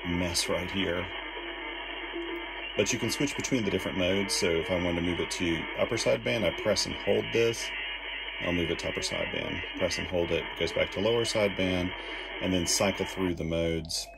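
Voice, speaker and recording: A man's voice: low-pitched, normal speed, creaky, poor audio. A man giving a casual hands-on demo of radio gear as a hobbyist. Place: indoors at a desk. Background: shortwave radio music and sound.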